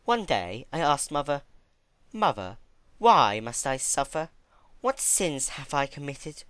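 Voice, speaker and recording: A man's voice: a little high, sing-song, fairly bright tone, no room sound, pitched above the performer's own voice, heavily acted. A man voicing an ancient, unhinged female pony princess who recalls her torments in a theatrical, chatty way, in a dramatic story reading. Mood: wistful, pained. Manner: theatrical, chatty about horrors, with drawn-out emphatic words. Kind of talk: dramatic fan fiction reading.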